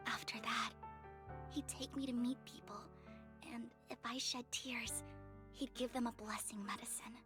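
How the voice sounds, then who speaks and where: An actress playing a gentle, sorrowful young woman who speaks softly and politely in a video game. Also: a little high, fairly even intonation, measured pace, over music, natural voice. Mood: sorrowful, earnest. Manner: soft, earnest, formal address.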